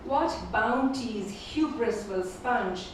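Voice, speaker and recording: A woman whose first language is Urdu, reading her poems slowly and repeating each first line at a live poetry event. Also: a little high, animated, a little hiss or hum. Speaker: featured poet. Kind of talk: poetry reading with spoken introductions. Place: performing arts venue. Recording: echoey hall from far off.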